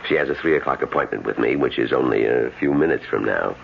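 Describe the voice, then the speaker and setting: A suave healer in an old radio drama, measured and gently needling. The man's voice is very low with a gravelly creak. The recording is dull and noisy. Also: heavily acted. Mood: courteous, composed. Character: suave, unruffled healer treating patients by mind power.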